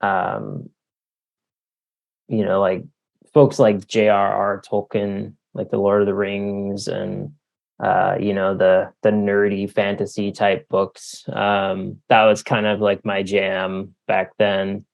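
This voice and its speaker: Slow-talking, low-voiced man with creaky fry. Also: steady tone, lo-fi sound. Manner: halting, thoughtful, searching for words with long hesitations. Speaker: author being interviewed. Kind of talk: casual reflective interview talk.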